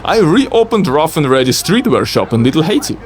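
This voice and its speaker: A non-native English-speaking man narrates a scripted game showcase. His voice sits slightly high and moves in a very animated way, with wry, playful asides. There is some noise behind him. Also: a little fast. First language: not English. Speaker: video creator and game modder.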